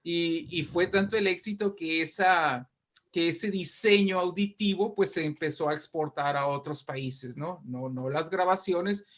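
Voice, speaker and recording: A man with a fairly high voice tells history as an interview guest. He speaks conversationally and with animation, turning often to his listener with little questions. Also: a little slow. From Mexico. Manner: animated storytelling with frequent check-in questions. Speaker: interview guest, writer and poet.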